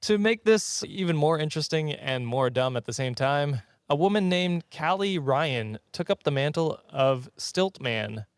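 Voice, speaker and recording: A man's voice: on the high side, expressive, a little slow, few pauses, dry recording. A man hosting a casual comedy podcast, telling trivia and bantering in a jokey, chuckly way. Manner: jokey, often chuckling as he talks. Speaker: podcast host.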